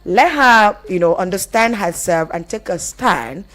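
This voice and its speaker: A woman with a Nigerian accent hosting a radio show in an expressive, conversational way, asking rhetorical questions. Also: medium pitch, measured pace. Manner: expressive, rhetorical questions, some Pidgin phrases. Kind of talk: conversational radio presenting with storytelling.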